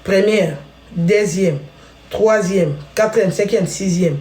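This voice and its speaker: A woman's voice: medium pitch, slow pace, mellow, echoey room, slight background noise, poor audio. A Congolese woman hosting a live stream, chatting and telling stories straight to her viewers. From Congo (DRC). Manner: chatty, storytelling, addresses viewers directly. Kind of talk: casual live-stream chat.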